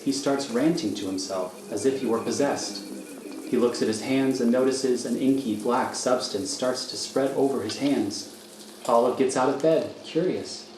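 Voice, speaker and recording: A man narrating scripted stage directions for a radio thriller in a measured, matter-of-fact manner. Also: medium pitch. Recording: echoey room, faint noise.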